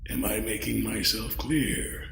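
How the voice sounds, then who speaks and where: A man voicing an ancient, courtly alien mind-parasite in an audio drama, pompous and smugly menacing, savoring his pauses. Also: on the low side, steady tone, creaky, a bit bright, full character voice. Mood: politely menacing. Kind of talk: scripted audio drama acting. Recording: roomy echo.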